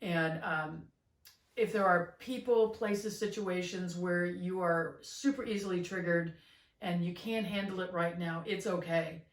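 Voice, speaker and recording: Woman, middle-aged, podcast co-host, soft reflective talk, roomy echo. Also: fairly low pitch, fairly even intonation, unhurried. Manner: gentle, earnest, with frequent fillers and restarts. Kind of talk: reflective casual chat. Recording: roomy, slightly distant mic.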